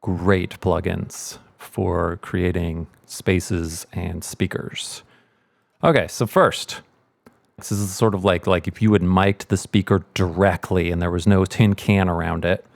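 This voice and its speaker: A man's voice: deep voice, steady tone, slow, creaky, no echo. A middle-aged man hosting a casual audio tutorial, explaining things as a sound mixer would. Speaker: host, sound editor and mixer.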